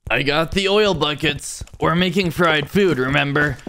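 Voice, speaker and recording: A man's voice: on the high side, measured pace, sharp tone, slight background noise. A man voicing an earnest young stick-figure cook who leads his friends in an amateur cartoon dub, giving instructions. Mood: eager, instructing.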